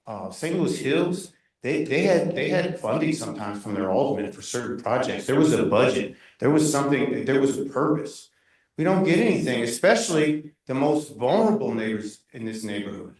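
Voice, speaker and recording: A man giving a loud, insistent public comment at a neighborhood meeting, speaking without a microphone. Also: medium pitch, very animated, normal speed. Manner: loud, insistent, critical, with frequent restarts. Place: echoey community meeting room. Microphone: room mic at a distance, not speaking into a handheld. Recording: very echoey room, voice far from the mic.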